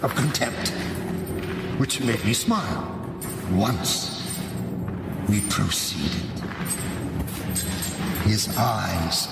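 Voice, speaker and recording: An actor playing a scheming, vengeful nobleman who narrates a gothic radio drama with false courtesy, dramatic and deliberate. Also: lowish voice, lively intonation, vocal fry, over loud music, heavily acted. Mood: grave, confiding. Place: large church hall, live before an audience. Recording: echoing cavernous hall, noisy live recording.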